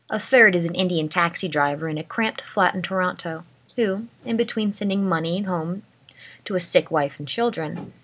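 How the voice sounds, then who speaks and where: Woman reading a story aloud. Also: mid-range voice. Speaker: amateur story reader. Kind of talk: scripted narration, reading a short story aloud.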